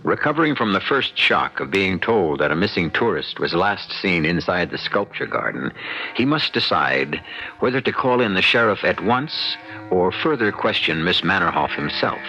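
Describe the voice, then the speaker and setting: Low-voiced man, wry old radio host. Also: sing-song, sharp tone. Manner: wry, theatrical, deliberate. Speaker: radio drama host and narrator. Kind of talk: old-time radio scripted narration. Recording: muffled old radio recording. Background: music.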